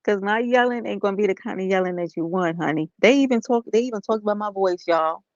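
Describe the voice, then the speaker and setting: A woman, slightly hoarse, talking softly and casually to viewers on a live stream from bed. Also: medium pitch, quick pace, no room sound, dull audio. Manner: soft-spoken, talks straight to viewers as y'all and honey.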